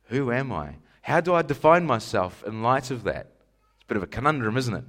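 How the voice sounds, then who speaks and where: A man's voice: mid-range voice, big pitch swings, fairly quick, a bit bright. A man from Scotland, preaching a sermon in an emphatic style with rhetorical questions. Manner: emphatic rhetorical questions, rising to stressed lists.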